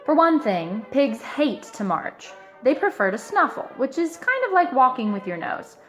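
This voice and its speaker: A woman reading a children's picture book aloud in an expressive, emphatic way, stretching out key words. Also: sits high, normal speed, soft background music. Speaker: storybook reader.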